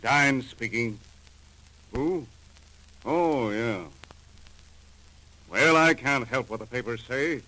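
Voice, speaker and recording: An American man acting a gruff, seasoned customs chief in a 1940s radio crime drama, with clipped, slangy, businesslike delivery. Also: mid-range voice, slow, pauses often, pushed and bright, poor audio. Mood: brisk, harried. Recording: old 1940s radio recording.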